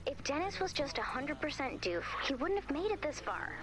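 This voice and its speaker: A woman's voice: sits high, sing-song, normal speed, poor audio. A woman voicing an emphatic, dramatic young misfit heroine in a video game, sarcastic yet vulnerable. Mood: wry.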